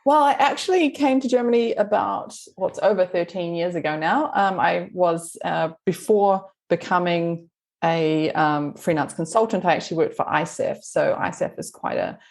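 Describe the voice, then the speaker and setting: A woman's voice: on the low side, normal speed, creaky. A woman from New Zealand with a mixed New Zealand accent, talking casually as an interview guest, chatty with frequent ums. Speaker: guest, education marketing consultant.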